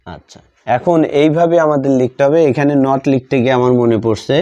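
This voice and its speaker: A man with a Bengali accent teaching a grammar lesson step by step, halting and repeating phrases in short fragments as he writes. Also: mid-range voice, mellow. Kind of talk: step-by-step grammar lesson, spoken while writing. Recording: dull lo-fi sound.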